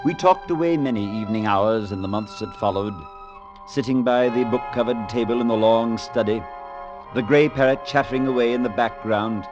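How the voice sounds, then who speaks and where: An actor playing a gentle priest who narrates an old-time radio drama in a measured way. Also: male voice, mid-range voice, lightly acted. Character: kindly male priest narrating. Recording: dull vintage recording with music.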